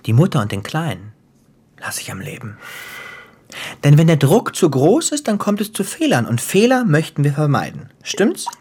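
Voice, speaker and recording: A man acting in a German radio play as a cruel, gleeful crime boss, theatrical and taunting, with a husky voice that drops into whispers. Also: on the high side, sing-song, moderate pace, darkish tone, exaggerated voice. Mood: taunting, menacing. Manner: theatrical, taunting, savoring storyteller.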